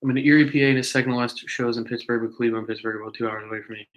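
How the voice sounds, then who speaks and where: A man's voice: mid-range voice, steady tone, very fast, lo-fi sound. A young man co-hosting a casual podcast chat, laid-back and chuckling along. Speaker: podcast co-host. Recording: roomy echo with faint noise.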